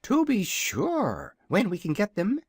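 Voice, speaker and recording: A reader voicing a proud, hungry young dragon in a storybook, with a high, drawling, haughty manner. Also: wildly swooping pitch, takes it slowly, husky, dry recording, studio quality, full character voice. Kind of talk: audiobook character voice.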